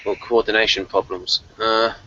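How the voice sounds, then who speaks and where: A man's voice: fairly low pitch, little pitch movement, moderate pace, bright, forceful tone, dull audio, a little hiss or hum. A man hosting a casual panel discussion, explaining things in a chatty, emphatic way. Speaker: host of a TV show review discussion.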